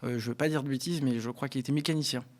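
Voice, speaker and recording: A man from the Lyon area telling his own story as an interview guest, often pausing to search for words. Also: mid-range voice, fairly even intonation. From Lyon area, France. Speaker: interview guest, parliamentary aide. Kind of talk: conversational personal account in an interview.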